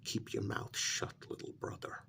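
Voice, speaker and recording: A man playing an anxious working-class older brother in a soft-spoken ASMR role-play, speaking in a hushed, deliberate, insistent way. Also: a little hiss or hum, natural voice. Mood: urgent, protective. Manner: low, deliberate, insistent, half-whispered warning.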